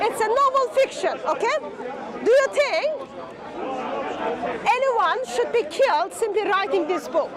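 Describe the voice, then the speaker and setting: A woman's voice: extremely high pitch, expressive, normal speed, pauses often. A woman from Turkey, pressing questions in a street debate with phrasing. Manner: persistent questioning, non-native phrasing. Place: outdoor public speaking spot in a park. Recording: loud crowd chatter behind.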